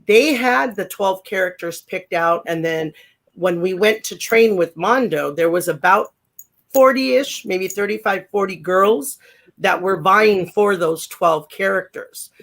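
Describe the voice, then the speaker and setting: Deep-voiced older woman chatting. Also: fairly bright tone. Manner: rambling, full of fillers and restarts. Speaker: guest, former pro wrestler. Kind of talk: casual interview chat.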